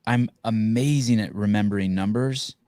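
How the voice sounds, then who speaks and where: A man's voice: on the low side, moderate pace, dark tone, dry recording, clean studio sound. A man hosting a podcast interview in casual chat, rambling with many restarts and casual swearing. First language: Armenian. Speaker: podcast host.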